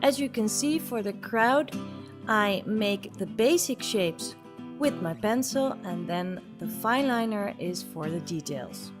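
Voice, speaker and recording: A woman's voice: mid-range voice, lively intonation, slow. A woman presenting a drawing tutorial as a voice-over, explaining her technique step by step. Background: plucked guitar music.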